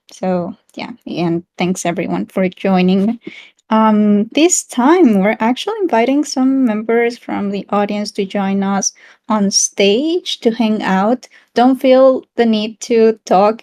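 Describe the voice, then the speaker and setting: A woman's voice: fairly high pitch, dark tone. A woman from South America co-hosting a casual online community chat in a friendly, giggly, encouraging way. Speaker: town hall co-host.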